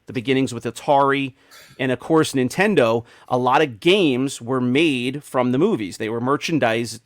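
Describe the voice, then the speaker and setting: A man's voice: medium pitch, no room sound. A middle-aged man hosts a casual group discussion in an everyday mid-range voice. The sound is dry and close, with very little room to it. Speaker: podcast host. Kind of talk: casual panel chat.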